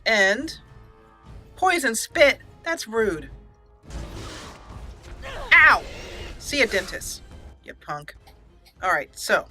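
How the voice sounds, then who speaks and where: A woman's voice: on the high side, bright, forceful tone. A woman hosting a let's play, chatting playfully through her gameplay, laughing often and reading game text aloud. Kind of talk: gameplay commentary.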